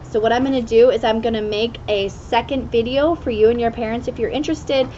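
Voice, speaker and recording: A woman reading a children's story aloud expressively, drawing out key words with deliberate emphasis. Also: on the high side, steady tone, mellow. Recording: dull lo-fi sound with clear background noise.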